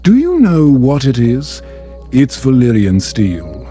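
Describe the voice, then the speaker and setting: A man voicing a fantasy prince in a bedtime story, quietly intimate and commanding as he courts a lady. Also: medium pitch, wildly swooping pitch, takes it slowly, soft background music. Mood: intimate, courting.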